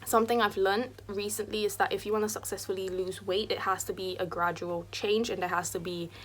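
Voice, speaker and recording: A woman in her twenties, raised in Singapore, talking straight to camera in an open, confessional way. Her voice sits at an average pitch for a woman. She fills her sentences with casual "like" and "you know," and now and then drops into a soft whisper. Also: fairly even intonation, a little fast. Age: 20s. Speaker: vlogger and streamer. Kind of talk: candid personal vlog talk.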